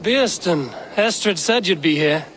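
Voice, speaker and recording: A man playing a steady, guarded Viking raider with a measured, terse manner, in a video game. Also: high voice, faint music behind, low-quality recording. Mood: calm, guarded. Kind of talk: video game cutscene acting.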